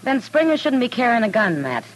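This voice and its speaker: A woman's voice: on the high side, big pitch swings, brisk, breathy edge. An American actress playing a wry, plainspoken frontier woman in an old radio western, casual and folksy. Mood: concerned. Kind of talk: 1950s radio western acting. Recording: noisy old radio recording.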